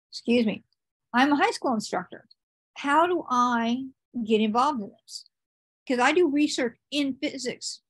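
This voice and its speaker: A woman's voice: a little high, dry recording, rough recording. A woman panelist talking in a loose, rambling way with many fillers and restarts over a video call. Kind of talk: online panel talk.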